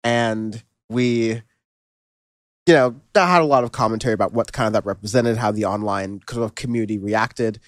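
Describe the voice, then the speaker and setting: A man's voice: lowish voice, moderate pace, no echo. Casual male podcast host, dry close mic. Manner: relaxed, rambling, lots of fillers and restarts. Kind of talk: casual music review chat.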